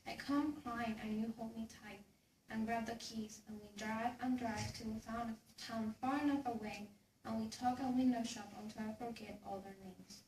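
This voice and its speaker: A young woman performs as a student reader, reciting scripted text. Her voice sits on the high side. She is far from the mic in an echoey room. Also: fairly even intonation, normal speed, darkish tone. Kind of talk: scripted recitation for a speech class. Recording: echoey room, distant mic, clear noise.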